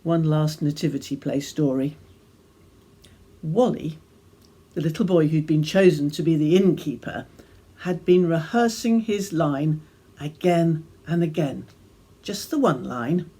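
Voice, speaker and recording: A woman reading a devotional reflection as gentle, measured storytelling with deliberate pauses. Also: deep voice, slightly rough. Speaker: reader of her own devotional reflection. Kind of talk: scripted devotional reflection, storytelling read aloud.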